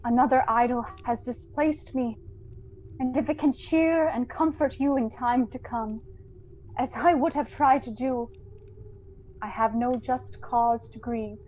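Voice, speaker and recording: A woman playing a sorrowful, dignified young woman in an audio drama, speaking gently and earnestly in measured phrases. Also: fairly high pitch, dull audio, a little hiss or hum, close to an everyday voice. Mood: sad, resigned. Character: sorrowful, dignified young fiancée releasing her beloved. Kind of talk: theatrical audio drama acting.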